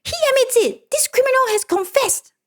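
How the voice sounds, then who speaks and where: A woman's voice: very high-pitched, normal speed, pitched above the performer's own voice. A woman voicing a pompous, dramatic pampered female cat, prim and theatrical. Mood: alarmed.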